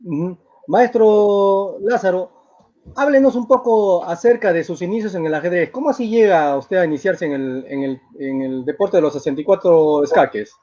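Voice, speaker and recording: Casting: male host, formal, high mellow voice, dull lo-fi audio. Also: normal speed. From Peru. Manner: formal, hesitant with fillers. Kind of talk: live interview hosting.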